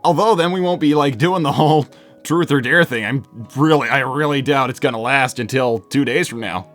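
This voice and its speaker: A man's voice: medium pitch, brisk, fairly bright tone, close to an everyday voice. A man voicing an easygoing, evasive young hedgehog hero in a cartoon fan dub, casual and rambling. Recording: background music under voice.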